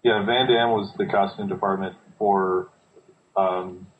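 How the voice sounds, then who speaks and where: A man's voice: deep voice, fairly even intonation, a little slow. A man co-hosting a casual movie commentary with dry, laid-back quips. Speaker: co-host. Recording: tinny phone-like call with faint hiss.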